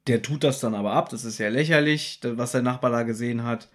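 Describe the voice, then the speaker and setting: A man's voice: medium pitch, fast. A man co-hosting a casual podcast, teasing and contradicting as he discusses an audio drama. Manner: teasing, contradicting, acts out quotes. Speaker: podcast co-host.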